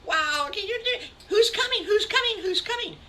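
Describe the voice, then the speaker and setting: A woman voicing excited little boy piglets who squeal and repeat themselves in a storybook tale. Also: very high voice, normal speed, recorded from a distance, a little hiss or hum, voice pushed up, heavily acted. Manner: squealing, repeating words in a rush.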